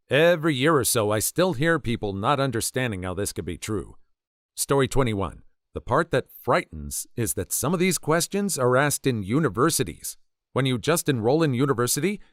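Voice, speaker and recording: A man's voice: mid-range voice, dry recording, studio quality. A man reading out short anecdotes as scripted narration for an online story compilation. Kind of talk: scripted narration of read-out stories.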